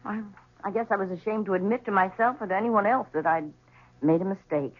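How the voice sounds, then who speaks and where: An earnest, regretful widow acted by a woman speaks at a medium pitch. It is 1970s radio drama, heard through a narrow, tinny band. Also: fast, natural voice. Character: earnest, regretful widow visiting her ex-husband.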